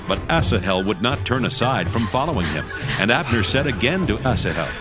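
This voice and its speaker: A man reading scripture as a scripted audio Bible narrator, solemn and with measured phrasing. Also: mid-range voice, very animated. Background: background music.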